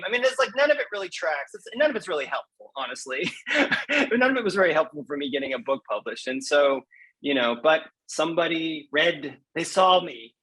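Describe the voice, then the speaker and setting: A man speaking as an interview guest, telling his own story in a candid, self-deprecating, conversational way with animated quoted asides. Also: on the high side, husky, rough recording. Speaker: guest poet.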